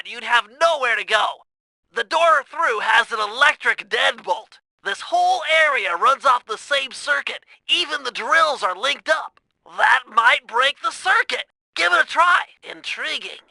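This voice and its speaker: A man voicing a nerdy, brainy young turtle strategist in an animated video game, with a thin nasal voice and precise, wordy, earnest delivery. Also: extremely high pitch, creaky, no room sound, exaggerated voice, poor audio. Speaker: mission guide in a video game.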